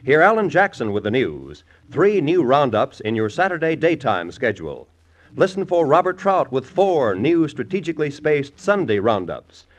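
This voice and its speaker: A man's voice: medium pitch, big pitch swings, moderate pace, lo-fi sound. A man announcing for old-time network radio in a polished, formal, promotional style.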